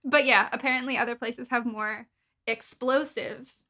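Young american woman on a chatty podcast, bright forward voice, bit high, lots of likes, kinda halting. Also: steady tone. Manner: informal, halting phrasing with frequent "like". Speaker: podcast co-host. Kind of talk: casual political podcast chat.

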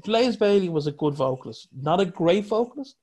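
A man with a fairly high speaking voice hosts a casual podcast chat. He weighs his opinions calmly and agrees readily with his co-host. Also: fairly quick. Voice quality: higher singing voice. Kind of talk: casual music review chat.

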